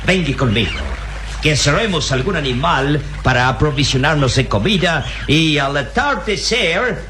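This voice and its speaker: An actor playing a greedy, ruthless villain captain who mocks and laughs at others in a radio drama. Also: male voice, fairly high pitch, animated, unhurried. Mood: scheming. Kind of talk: 1960s Mexican radio drama acting. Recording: old radio serial recording with hiss.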